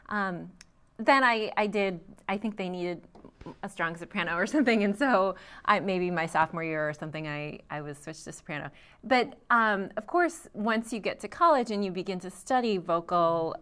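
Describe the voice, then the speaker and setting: A woman's voice: fairly low pitch, a bit bright, clean tone. A young adult woman, a trained singer, answering interview questions thoughtfully with frequent ums and restarts. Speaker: guest vocalist.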